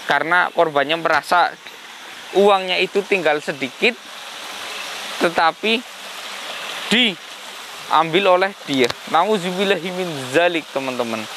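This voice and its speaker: A man's voice: a little high, a little slow, pauses often, sharp tone, a little hiss or hum, rough recording. A man with a slight cold talking casually to viewers as a host, often breaking into religious exclamations. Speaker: paranormal channel host.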